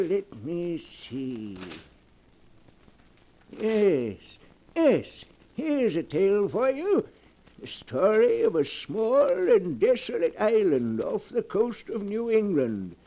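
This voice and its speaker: An actor playing a sinister old keeper of dark tales in a theatrical, savoring old-time radio horror style. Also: male voice, high-pitched, wildly swooping pitch, exaggerated voice, poor audio. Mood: gleefully ominous. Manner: theatrical, drawn-out, savoring delivery. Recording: vintage radio recording.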